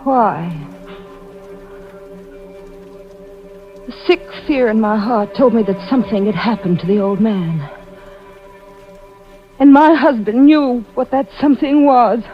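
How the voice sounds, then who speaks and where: High-voiced anxious wife, vintage radio. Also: female voice, lively intonation, a little slow. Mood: fearful, brooding. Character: anxious, defensive wife who narrates her suspicions. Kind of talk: old-time radio suspense acting. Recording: dull vintage radio recording with music.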